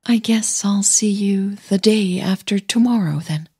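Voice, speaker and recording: A woman voicing an uneasy, polite young woman singer in an audio drama, with soft, breathy delivery. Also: medium pitch, measured pace, voice pushed up. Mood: uneasy, apologetic.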